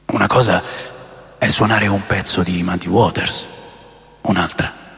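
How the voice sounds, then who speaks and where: An actor playing a wistful, intense rock fan narrating a radio drama monologue in a theatrical way, from hushed to emphatic. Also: male voice, deep voice, steady tone, a little slow, full character voice. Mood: hushed awe. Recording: long reverb, clear music bed.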